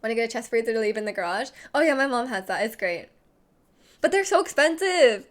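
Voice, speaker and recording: A woman's voice: on the high side, lively intonation, brisk. Young woman with a slight lisp. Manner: rambling, heavy use of "like". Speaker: streamer. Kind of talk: casual chat, reading chat messages.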